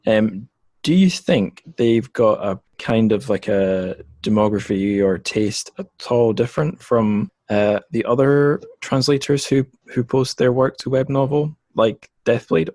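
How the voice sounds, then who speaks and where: Low-voiced man hosting a podcast interview. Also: moderate pace, a little mellow, dry recording.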